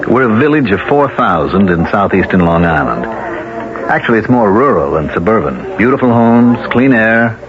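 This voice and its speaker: A man's voice: fairly low pitch, very animated, normal speed. An actor playing a confident, genteel and persuasive well-off man promoting his village, in 1970s radio drama style. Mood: smooth, persuasive. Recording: dull old radio broadcast with music.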